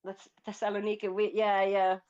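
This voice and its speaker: A woman's voice: mid-range voice, monotone, moderate pace, a little mellow, smooth, no room sound, muffled recording. A woman from Greece with a British accent, chatting in an online audio room, often laughing and restarting her sentences. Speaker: guest speaker. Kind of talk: casual online audio chat.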